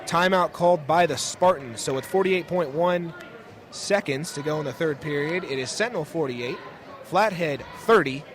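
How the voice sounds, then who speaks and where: A young American man calling high school basketball as a live radio play-by-play announcer. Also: moderate pace. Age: young adult. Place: indoor high school gym, courtside. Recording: noisy gym ambience. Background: bouncing basketballs, gym noise.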